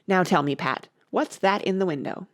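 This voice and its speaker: A woman's voice: on the low side, normal speed, dry recording, clean studio sound, lightly acted. A woman voicing an angry, imperious male rabbit who barks orders in a storybook reading. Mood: angry, scolding. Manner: barking imperious orders, scolding. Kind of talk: storybook character voice.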